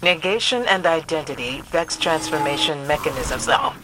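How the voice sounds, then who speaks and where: A woman's voice: sits low, normal speed, fairly bright tone, slight background noise, poor audio. An actress playing a composed, dryly witty female scholar who guides players through a video game mission. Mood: mildly puzzled. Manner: dry, composed, wry.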